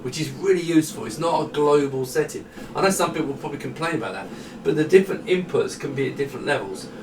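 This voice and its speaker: A man giving a casual, unscripted hardware demo, rambling and thinking aloud in a self-deprecating way. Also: a little high. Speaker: hardware designer demonstrating a product. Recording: roomy, distant mic, faint noise.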